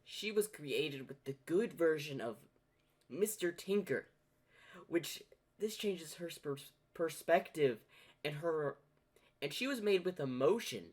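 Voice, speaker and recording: A man hosting a reaction video, giving excited, rambling commentary. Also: a little high, slow.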